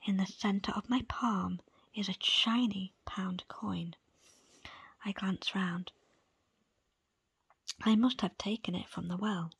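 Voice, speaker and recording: Woman, ASMR audiobook reader, very breathy whisper, crackly edge, unhurried phrasing, intimate dry sound. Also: mid-range voice, fairly even intonation, no echo. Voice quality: soft, breathy, unvoiced whisper. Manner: slow, deliberate, pausing between short phrases. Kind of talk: ASMR whispered book reading. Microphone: close, dry microphone.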